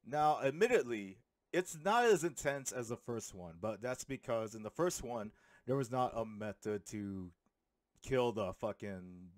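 A man's voice: medium pitch, measured pace, no echo. Casual man, dry close-mic sound. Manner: animated, sweary, uses slang. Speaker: film reviewer. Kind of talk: casual, rambling comedic movie review. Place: small room at home. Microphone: handheld microphone held close to the mouth.

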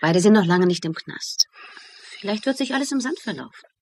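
An actress playing a brisk, impatient, no-nonsense woman in a German radio crime drama, speaking bluntly and firmly. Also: mid-range voice, animated, a little mellow, husky. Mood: reassuring. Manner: blunt, firm. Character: brisk, impatient, no-nonsense woman steadying her anguished partner.

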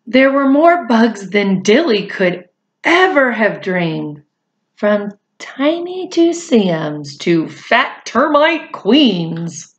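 A middle-aged woman reading a picture book aloud to children expressively, with chatty asides. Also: fairly high pitch. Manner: expressive, drawn-out delivery addressed to young children. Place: small indoor room at home.

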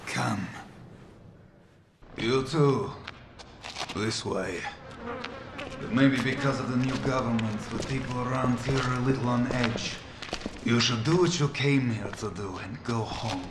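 A man playing a guarded local arms contact in a video game, curt and deliberate. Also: mid-range voice, lightly acted. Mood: wary. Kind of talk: cinematic game acting. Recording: echoey room, clear background noise.

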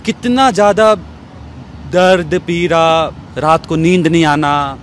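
A man in his thirties interviewing conversationally, with halting, reflective and roundabout phrasing. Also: on the high side, steady tone. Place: outdoors in an open courtyard. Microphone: handheld small microphone. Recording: faint outdoor street background noise.

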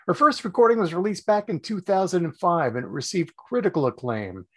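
A man's voice: sits high, lively intonation, a little fast, a bit bright. An older man in his sixties, hosting a show and reading an upbeat scripted introduction from a home office with bookshelves. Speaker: show host.

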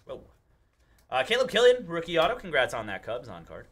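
A man's voice: on the high side, huge pitch swings, brisk, a bit bright. A young man hosting a live card break, rattling off player names and chatting in a studio. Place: studio with table, indoors.

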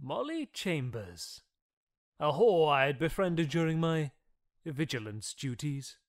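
A man's voice: a little high, very animated, takes it slowly, exaggerated voice. An actor playing a brooding, arrogant vampire who recounts his transformation in a formal, theatrical gothic audio drama monologue. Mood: cold, hungry. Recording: music under the voice.

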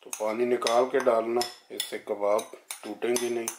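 Middle-aged man, unhurried cooking vlog talk, echoey kitchen, faint pan sizzle. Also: medium pitch, flat delivery, background noise. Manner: unhurried, friendly step-by-step explaining. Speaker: home cook. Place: home kitchen, indoors.

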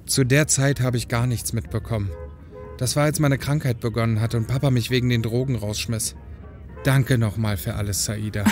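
A man's voice: medium pitch, fairly even intonation, moderate pace, darkish tone, faint music behind, close to an everyday voice. A man playing a young, admiring younger brother in an audio drama, talking in a casual, curious way. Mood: earnest. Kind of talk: German audio drama acting.

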